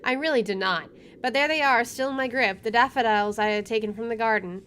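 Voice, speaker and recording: A woman's voice: a little high, a little fast, fairly bright tone, slight background noise. A woman streaming a visual novel, reading its text aloud and dropping in casual, wry asides. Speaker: video game streamer.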